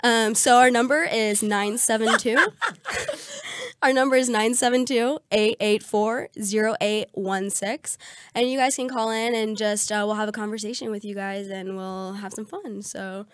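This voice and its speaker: A young woman co-hosting a podcast in casual chat, her voice raspy from a cold, giggling often and using many fillers. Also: fairly even intonation, unhurried. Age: young adult. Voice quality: slightly deep and raspy. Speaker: podcast co-host.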